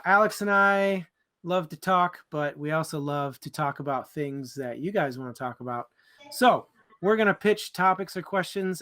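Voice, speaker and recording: A man's voice: a little high, fairly bright tone. A man co-hosting a book club livestream in casual, informal chat with a bit of joking.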